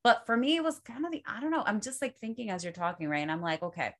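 A middle-aged woman with family roots in Puerto Rico and the Dominican Republic, chatting casually and telling stories from her family life in a reflective, animated way. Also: mid-range voice, fast talker. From Puerto Rican and Dominican family roots. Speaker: co-host. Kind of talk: casual chat.

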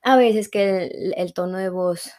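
A woman from Mexico with a Mexican accent, a guest in a conversational interview, telling her story in a reflective and animated way. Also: medium pitch, measured pace. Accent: Mexican Spanish.

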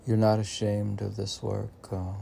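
A man reading his own poetry on an informal podcast, rambling and talking to himself in a halting, musing way, often clearing his throat. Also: lowish voice, completely flat delivery, dark tone, slight background noise. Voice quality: gravelly, husky.

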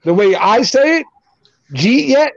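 A man's voice: very high-pitched, expressive, vocal fry, no room sound, dull audio. A man with a New Jersey accent, chatting casually and joking as a co-host of a group video stream. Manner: joking, laughs often, quick back-and-forth.

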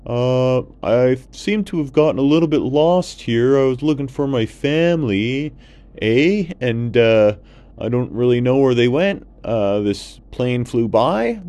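A man plays a friendly storybook goose with a folksy Canadian accent. The voice is pushed up high and loud, rambling along politely and hesitantly in a bumbling way. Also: lively intonation, unhurried. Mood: sheepish, chatty. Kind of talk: children's bedtime storybook character.

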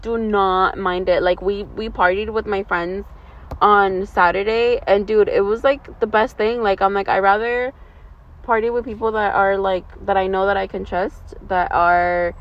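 A woman's voice: medium pitch, fairly even intonation, measured pace. A woman in her early twenties chatting casually with a friend in a slangy, rambling, giggly way. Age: 20s, twenty-three. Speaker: vlog host. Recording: dull lo-fi, outdoor traffic noise.

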